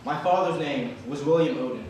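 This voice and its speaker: A man performing a dramatic reading as a solemn freedman seeking lost relatives, formal and measured. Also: fairly high pitch, a little mellow, slight background noise, natural voice. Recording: echoey hall from far off.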